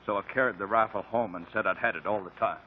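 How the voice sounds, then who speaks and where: Actor as low-voiced, subdued husband. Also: male voice, steady tone, lightly acted. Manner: halting, subdued. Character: rural husband, sheepishly explaining himself. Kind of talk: 1950s radio drama acting. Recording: muffled, noisy 1950 radio recording.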